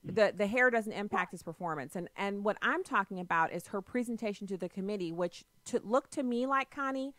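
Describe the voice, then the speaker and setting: A woman's voice: mid-range voice, animated, a bit bright, no room sound. A woman hosting a political call-in radio show, pressing her caller with pointed questions. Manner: assertive, argumentative, quick to press questions. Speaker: radio talk show host.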